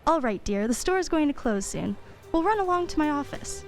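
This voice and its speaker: A guarded, practical mother in a radio drama, played by a woman. Her voice is quite high, and she speaks properly and earnestly, with firmness. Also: very animated, fairly quick, music in the background. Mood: firm, earnest. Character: sensible, guarded divorced working mother. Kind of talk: radio play acting.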